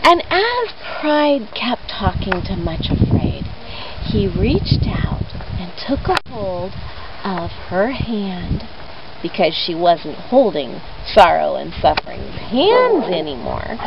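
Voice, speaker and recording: A woman telling a children's story expressively, leaning on key words with deliberate, dramatic emphasis. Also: mid-range voice, sing-song, a loud crowd. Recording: dull lo-fi audio with clear background noise.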